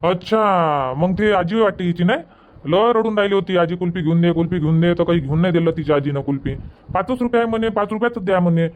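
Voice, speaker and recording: कार्टूनमधल्या बोलक्या, तेच तेच सांगणाऱ्या गावाकडच्या कुल्फीवाल्याला वैदर्भीय मराठीत आवाज देणारा पुरुष. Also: male voice, high-pitched, fast talker, lo-fi sound, a little hiss or hum, lightly acted. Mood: gossipy. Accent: Vidarbha Marathi. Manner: gossipy, repetitive. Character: chatty male village kulfi street vendor. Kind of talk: cartoon comedy dubbing.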